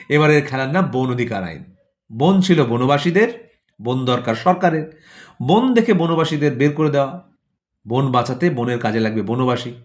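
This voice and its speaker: A man narrating a satirical audio play theatrically, in rhythmic, repeating phrases with a note of mock wonder. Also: mid-range voice.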